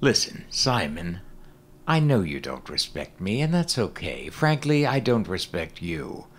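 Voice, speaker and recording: A man's voice: sits low, very animated, a little slow, full character voice. An actor playing a pompous, scornful, officious man in a radio drama, with florid mock politeness. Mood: contemptuous.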